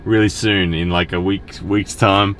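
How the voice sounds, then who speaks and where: Low-voiced Australian man vlogging in a car. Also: fairly even intonation, unhurried, a bit bright. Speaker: vlogger and show host. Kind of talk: casual vlog chat. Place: inside a car. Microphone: in-car camera. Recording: clear car and road noise.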